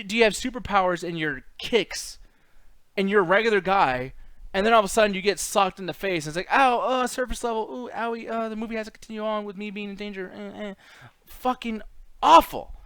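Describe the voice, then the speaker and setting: A man's voice: high-pitched, a bit bright, clean tone, no echo. A man hosting a casual, opinionated movie chat, ranting bluntly with lots of swearing and mocking quotes. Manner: mimics characters in mocking quotes. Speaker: podcast host.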